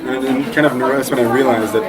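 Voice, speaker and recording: A young man from Eastern Canada chatting casually in an interview, relaxed and rambling with lots of fillers. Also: mid-range voice, fast. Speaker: interview guest. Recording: loud crowd noise behind.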